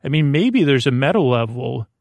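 Creaky-voiced man rambling at bedtime. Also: mid-range voice, big pitch swings, no echo. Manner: meandering, halting, with repeated false starts and trailing tangents. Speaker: podcast host.

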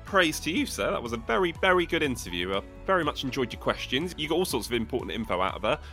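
A man's voice: a little high, sing-song, quick pace, creaky, bright, forceful tone, faint music behind. A man co-hosting a podcast, upbeat and complimentary in casual chat. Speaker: podcast co-host.

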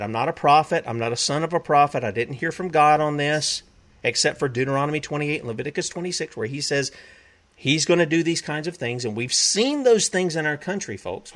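A man's voice: fairly high pitch, fairly bright tone. A man hosting a web broadcast, preaching emphatically, repeating himself and quoting scripture. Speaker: talk show host.

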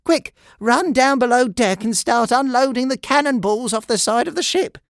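A man's voice: very high-pitched, normal speed, a bit bright, dry recording, clean studio sound, voice pushed up. A man voicing an anxious, earnest male pirate first mate in a story, urgent and exclaiming. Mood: alarmed. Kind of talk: acted children's storybook character.

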